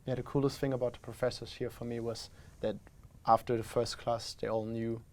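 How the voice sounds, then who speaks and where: A young man from Germany, making relaxed, dry remarks as a student guest. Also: medium pitch, brisk. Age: young adult. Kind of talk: casual interview answers.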